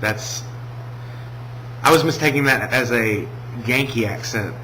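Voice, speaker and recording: Bright-voiced Southern American man. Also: mid-range voice, measured pace, a little hiss or hum, rough recording. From Alabama, USA. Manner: hesitant, many ums and restarts. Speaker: vlogger. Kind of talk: casual video response.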